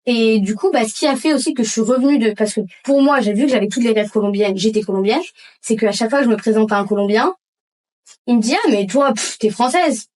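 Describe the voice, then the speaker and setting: A woman guest on a casual podcast, telling lively stories in heavy casual slang. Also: on the high side, quick pace, low-quality recording.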